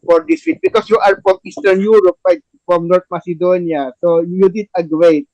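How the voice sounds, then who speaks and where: High-pitched man hosting, muffled lo-fi sound. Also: animated, vocal fry, a little mellow, dry recording. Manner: halting, repetitive, non-native phrasing. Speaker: podcast host, playwright and narrator. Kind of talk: narrating a table read and chatting with cast.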